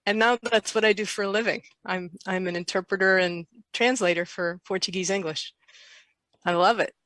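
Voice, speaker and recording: Bright-voiced older woman telling stories. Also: medium pitch, normal speed, no echo. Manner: lively anecdotal storyteller, quotes herself. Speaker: interview guest. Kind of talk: casual storytelling.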